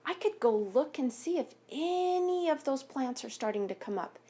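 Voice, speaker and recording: A woman reading a picture book aloud to young pupils as a teacher, expressively, pausing to ask questions and explain things simply. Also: sits high, expressive, warm tone. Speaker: elementary school teacher reading aloud to students.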